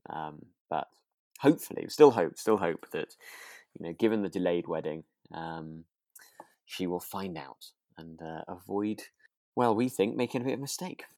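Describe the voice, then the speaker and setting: A man's voice: low-pitched, moderate pace, warm tone, no room sound, studio quality. A man co-hosting a podcast, recapping a drama in casual, agreeable chat with hesitant, trailing asides. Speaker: podcast co-host.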